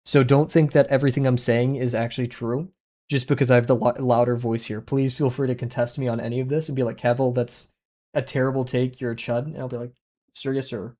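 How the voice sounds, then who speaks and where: Hombre joven charlando casualmente en directo. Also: male voice, mid-range voice, little pitch movement, fairly quick, warm tone. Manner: rambling, conversational, frequent fillers, self-deprecating. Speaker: art streamer. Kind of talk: casual livestream chat while drawing. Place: small home room, indoors. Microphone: headset with boom mic.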